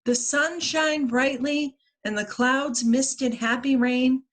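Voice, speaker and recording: A woman with a fairly high voice reads a picture book aloud for young children. Her pace is very slow, and her phrasing is deliberate and expressive, as a librarian's at story time. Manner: expressive, deliberate phrasing with acted-out character lines.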